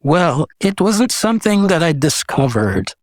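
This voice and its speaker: A man's voice: a little high, sing-song, unhurried, no room sound. An older man talks as an interview guest. His delivery is casual and reflective, like someone recounting his own life, with frequent conversational asides. The sound is dry, with little room in it. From Guyana. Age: 70s. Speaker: guest, visual artist.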